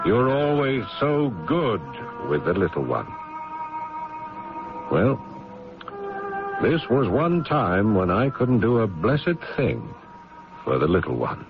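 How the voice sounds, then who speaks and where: A low, very expressive male voice plays a protective older brother in a radio drama. He sounds weary, rueful and placating, with music behind him on a dull recording. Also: slow pace, pauses often, full character voice. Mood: rueful, brooding. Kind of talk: radio drama dialogue and first-person narration.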